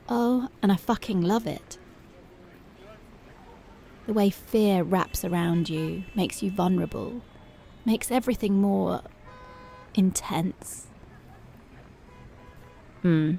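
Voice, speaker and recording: A woman playing a strict, dominant female police officer in an ASMR roleplay, slow, taunting and intimate, often slipping into whispers. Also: mid-range voice, creaky, warm tone, slight background noise. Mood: dominant, gloating.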